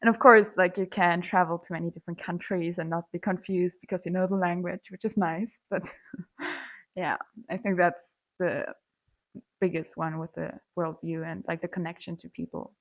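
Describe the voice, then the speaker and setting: A young woman from Germany talking casually as a student guest on a podcast, telling things in an animated, conversational way. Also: lowish voice, moderate pace. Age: young adult. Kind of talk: casual chat.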